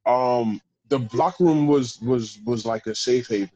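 A man, a professional football player, telling stories in a casual interview with a deep voice and a loose, rambling way of talking. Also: a little slow, mellow, no echo, muffled recording. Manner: laid-back, rambling with restarts. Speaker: pro football player guest.

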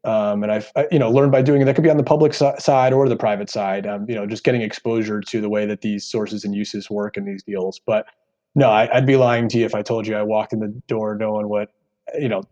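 An American man with a deep voice giving earnest, explanatory interview answers as a podcast guest, with frequent asides. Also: darkish tone, lo-fi sound. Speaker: podcast guest, real estate executive.

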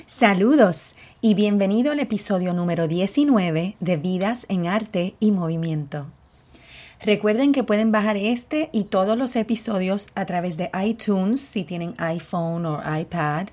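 A woman's voice: mid-range voice, unhurried, a little mellow. A woman from Puerto Rico with a Puerto Rican accent, hosting her own podcast in an outspoken, candid monologue. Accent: Puerto Rican Spanish. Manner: outspoken, candid, openly frustrated. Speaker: podcast host.

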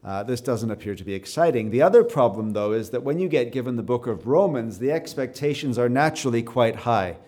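A man with a Canadian accent delivers a sermon to a live audience. His voice sits at an ordinary middle pitch, and his talk is relaxed and conversational, dotted with ums and repeated words. He builds up to louder, emphatic stresses. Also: brisk, hardly any pauses. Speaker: preacher.

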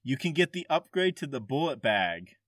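A man with a mid-pitched voice hosts a casual podcast quiz. He reads questions and answers in a slightly halting way. The sound is dry, with no room on it. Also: big pitch swings, a bit bright, dry recording.

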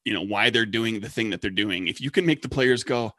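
A man's voice: on the low side, a little fast, pushed and bright, no room sound. Rambling man in a dry close recording. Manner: rambling, many restarts and ums. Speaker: podcast co-host. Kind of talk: casual chat.

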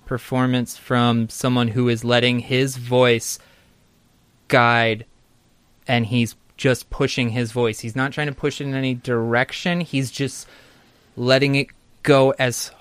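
A man hosting a conversation, speaking in a measured, thoughtful way. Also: medium pitch, little pitch movement, fairly bright tone, clean tone, no echo. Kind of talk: casual conversation.

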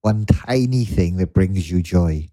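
A man's voice: low-pitched, unhurried, mellow. A middle-aged man with a Welsh accent, hosting a podcast in a casual, chatty way. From Wales. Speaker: podcast host.

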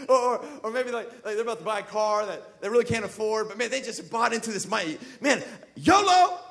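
Man, pastor, very high voice, casual jokey preaching, loud emphatic build-ups. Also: animated, moderate pace. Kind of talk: sermon. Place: church sanctuary.

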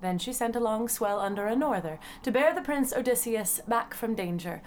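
A woman's voice: a little high, normal speed, lightly acted. A woman narrating a classical epic audio drama in a declamatory storytelling manner, with faint ambience behind her. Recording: faint ambient background noise.

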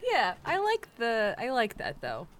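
A woman's voice: on the high side, huge pitch swings, unhurried, background noise. A woman co-hosting a casual podcast, joking, giggling and trailing off mid-thought. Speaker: podcast co-host. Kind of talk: casual chat.

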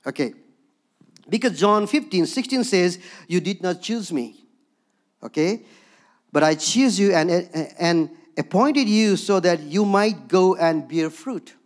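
Higher-voiced man preaching with a Himalayan accent. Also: lively intonation, slow pace. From Darjeeling, India, with Bhutanese background. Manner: conversational, frequently checks in with listeners with "okay?". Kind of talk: sermon with personal storytelling.

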